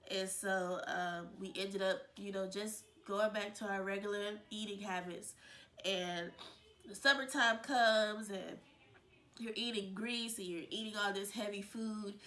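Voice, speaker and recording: A woman's voice: medium pitch, steady tone, unhurried, bright, forceful tone. A woman with a stuffed-up, congested voice, hosting her own video channel in a casual, chatty way with lots of fillers and restarts. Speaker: vlogger, channel host. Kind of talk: casual chat to camera.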